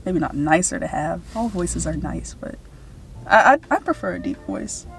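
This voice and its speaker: A woman in her twenties with a deep-ish voice, chatting casually and playfully with a friend in a rambling way. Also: animated, normal speed. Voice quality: deep-ish, slightly husky-sounding voice. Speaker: vlog co-host.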